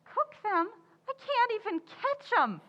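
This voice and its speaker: Actress playing a very high-pitched, flustered radio housewife. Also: female voice, sing-song, a little slow, sharp tone, highs cut off, heavily acted. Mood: weary, deadpan. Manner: exclamatory, melodramatic, exasperated. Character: flustered, scatterbrained housewife in a domestic comedy. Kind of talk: old-time radio comedy acting.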